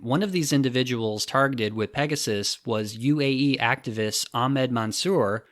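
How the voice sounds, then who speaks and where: A man's voice: medium pitch, fairly bright tone, no room sound. Man reading podcast news, very dry sound. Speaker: podcast host. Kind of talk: scripted news narration.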